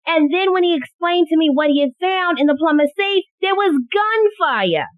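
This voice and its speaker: A woman's voice: extremely high pitch, lively intonation, moderate pace, dry recording. A woman acting in an audio play as an anxious, eager police secretary bringing urgent news. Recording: thin phone-like audio, very dry.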